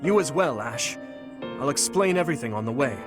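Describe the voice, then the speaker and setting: A man's voice: medium pitch, animated, fairly quick, music in the background, natural voice. An actor voicing an earnest, stern and courteous young nobleman in a video game, formal and clipped. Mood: resolute.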